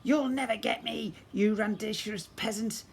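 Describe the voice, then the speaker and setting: A man's voice: sits high, fairly even intonation, unhurried, voice pushed up. A man voicing a spoiled, sneering goblin prince for a children's story, pompous, hissing and sputtering with insults. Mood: taunting. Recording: clear background noise.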